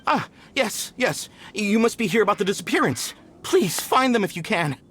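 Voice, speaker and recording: A man acting a frightened mine worker in a video game, nervous and halting. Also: high voice, expressive, bright, forceful tone, breathy edge, full character voice. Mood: flustered, pleading.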